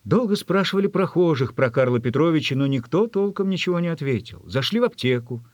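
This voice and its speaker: A man narrating a literary story from a script in a measured, expressive way. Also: a little high, dry recording, clean studio sound.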